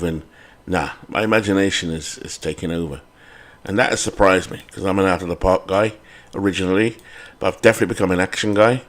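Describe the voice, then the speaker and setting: Man, British accent, lowish voice, casual rambling chat, fillers and restarts. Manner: rambling, chatty, with fillers and self-corrections. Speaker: game channel host. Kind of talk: casual commentary over a baseball sim game.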